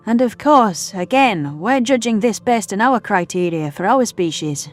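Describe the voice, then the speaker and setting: A woman playing a patient human scientist in an audio drama, explaining things in a measured, firm way. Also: mid-range voice, animated, lightly acted. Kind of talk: audio drama character acting. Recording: music under the voice.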